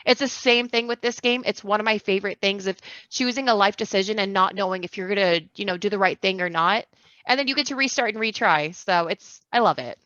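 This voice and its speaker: A woman's voice: mid-range voice, fairly quick, bright, forceful tone, no echo, lo-fi sound. A woman guest chatting casually on a podcast in a rambling, enthusiastic way. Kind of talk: casual chat.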